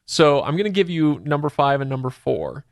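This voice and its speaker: A man's voice: fairly high pitch, sing-song, a little fast, no room sound, clean studio sound. A man hosting a podcast in a casual, jokey, bantering chat style. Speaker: podcast host.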